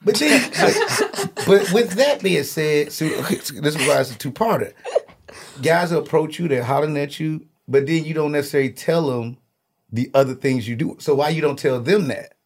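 A man's voice: on the high side, lively intonation, moderate pace, noisy background. A man hosting a podcast in a loose, slangy, casual chat, laughing often. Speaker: podcast host.

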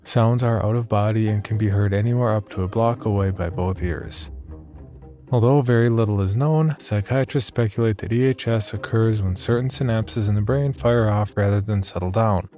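Low-voiced man narrating horror over faint music. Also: moderate pace, dark tone. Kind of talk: scripted first-person horror narration.

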